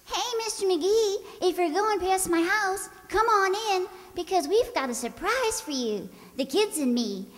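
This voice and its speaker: A little neighbour girl with a very high, swooping voice. She chatters on earnestly and persistently, spinning tall tales in old-time radio comedy style. The sound rings in an echoey hall. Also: expressive, measured pace, hardly any pauses. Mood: eager. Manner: childlike, rambling, earnest. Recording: echoey hall stage recording.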